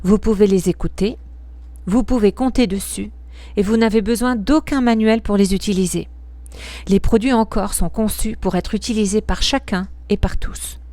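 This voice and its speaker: A woman reading a scripted promotional product narration in a measured, articulate and informative way. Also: medium pitch, fairly even intonation, warm tone, no echo.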